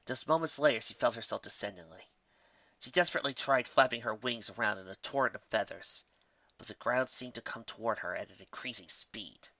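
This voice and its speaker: Bright-voiced man narrating, muffled recording. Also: mid-range voice, fairly quick, no echo. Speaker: narrator reading fan fiction. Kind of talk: scripted story narration.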